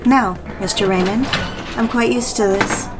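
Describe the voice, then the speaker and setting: A woman acts out a lovestruck married mistress in an audio drama. She tells of her affair in an intimate, confessional manner at a medium pitch, with music clearly playing behind her. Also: fairly even intonation, unhurried, lightly acted. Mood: coy, bold. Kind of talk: dramatic first-person narration and dialogue.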